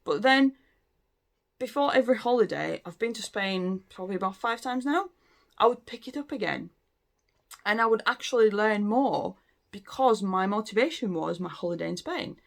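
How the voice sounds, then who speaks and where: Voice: medium pitch, lively intonation, moderate pace, gravelly. Late thirties, casual talk to camera, hesitant, frequent fillers, restarts sentences. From Latvia. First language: Latvian. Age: late 30s. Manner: hesitant, many ums and restarts. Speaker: language learning vlogger.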